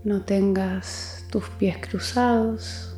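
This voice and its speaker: A woman leading a guided meditation, speaking gently and soothingly in a soft voice with long pauses. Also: mid-range voice, steady tone, roomy sound. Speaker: psychologist guiding meditation. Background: music.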